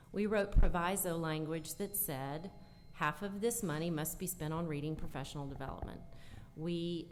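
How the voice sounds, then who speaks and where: A woman speaking as a panelist, explaining her points with emphasis. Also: fairly low pitch. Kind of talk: panel answer, explanatory talk. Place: conference session room with hall reverb. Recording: echoey hall with background noise.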